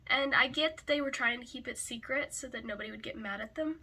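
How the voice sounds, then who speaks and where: A woman who is hoarse and raspy from a cold gives a casual book review, often hesitantly searching for words. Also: fairly high pitch, fast, bright, forceful tone.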